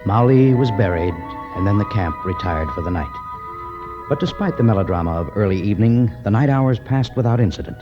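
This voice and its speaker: A man narrating a 1950s radio adventure serial in a measured, dramatic style. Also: fairly low pitch, expressive. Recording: muffled 1951 radio recording with music.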